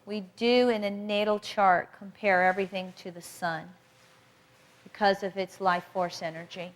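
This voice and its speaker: A woman teaching an astrology workshop in a loud, emphatic voice, repeating key points for her class. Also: mid-range voice, steady tone, normal speed. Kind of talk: workshop lecture.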